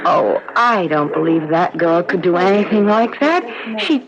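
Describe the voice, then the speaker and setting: Compassionate lady doctor, expressive, lively melody, vintage radio drama, muffled recording with murmur behind. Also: fairly low pitch, a little slow, a crowd in the background. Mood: thoughtful. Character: compassionate, earnest woman hospital doctor. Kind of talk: 1970s radio drama acting.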